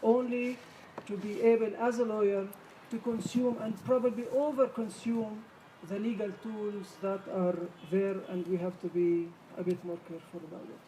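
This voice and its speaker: A woman's voice: mid-range voice, steady tone, dark tone. Emphatic Palestinian woman lawyer, distant mic. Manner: halting, many fillers, firm and emphatic. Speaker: lawyer on a panel. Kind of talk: panel discussion remarks. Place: conference hall. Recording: distant mic, faint room noise.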